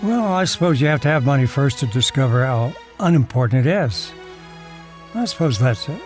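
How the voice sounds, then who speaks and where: An actor playing a wealthy, wry, teasing husband in a wheelchair in an audio drama. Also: male voice, mid-range voice, lively intonation, normal speed, soft background music, close to an everyday voice. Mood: teasing, indulgent. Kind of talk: audio drama acting, first-person narration and dialogue.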